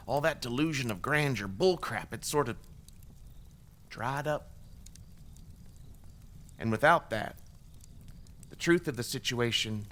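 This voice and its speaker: A man's voice: mid-range voice, a bit bright, lightly acted. An actor playing a remorseful, bitter former extremist gunman giving testimony in an audio drama, in a blunt, wry, profane conversational way. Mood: haunted, broken.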